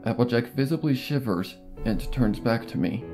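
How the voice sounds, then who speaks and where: A man's voice: mid-range voice, lively intonation, normal speed. A man reading a scripted story as a dramatic narrator, acting out the dialogue as he goes. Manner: acts out character dialogue. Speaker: fan fiction narrator. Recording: music behind the voice. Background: music.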